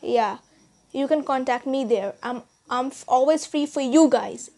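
Chatty high-voiced Indian girl. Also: measured pace, warm tone. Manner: chatty, rambling, frequent restarts and fillers. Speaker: YouTuber. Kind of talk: casual vlog chat. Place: small bedroom, indoors.

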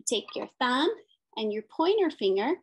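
A woman's voice: on the high side, very animated, measured pace, slightly rough. A woman in her thirties reading a picture book aloud as a teacher, in simple sing-song phrases with questions for young children. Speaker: special education teacher.

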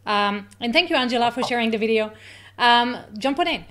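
Woman host, thirties, non-native English, slightly high voice, conversational, webcam. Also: animated, a bit bright. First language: not English. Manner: conversational, quick asides to viewers. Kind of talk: live interview stream. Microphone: video call webcam.

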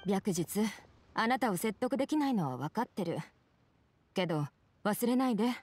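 Game casting: serious, caring woman physician, actress, mid-range voice with animated intonation and a probing edge. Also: medium pitch, normal speed, no room sound, lightly acted. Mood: resigned, caring. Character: earnest, worried adult woman physician, stern yet caring. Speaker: video game character. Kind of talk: dramatic game dialogue.

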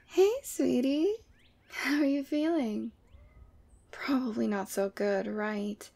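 Woman acting teasing demon girlfriend, creaky high voice. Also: slow pace, darkish tone, heavily acted. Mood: teasing, caring. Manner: casual, teasing, playfully exasperated. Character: teasing, sweet, clingy young female demon girlfriend. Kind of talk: ASMR roleplay audio drama.

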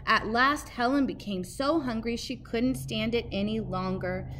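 A woman in her thirties reading a picture book aloud expressively and emphatically, like a teacher, pausing to ask questions. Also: a little high. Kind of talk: expressive children's storybook read-aloud. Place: outdoors by a brick building entrance. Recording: faint outdoor background noise.

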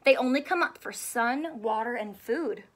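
A woman in her thirties reading a children's book aloud in an expressive, teacherly way, asking questions and drawing out key words. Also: a little high, moderate pace, slight background noise. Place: small indoor room with couch and stuffed toys.

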